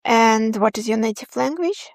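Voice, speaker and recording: Woman podcast host, kinda high voice, friendly, says yes yes a lot, dry sound. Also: moderate pace, vocal fry, no echo. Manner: friendly, encouraging, repeats words. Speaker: podcast interviewer. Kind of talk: casual interview.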